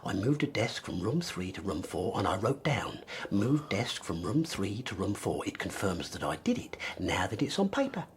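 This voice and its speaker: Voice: sits low, sing-song, normal speed, a little hiss or hum. An actor playing a stubborn, self-important school caretaker in a radio comedy-drama, with a truculent, officious manner.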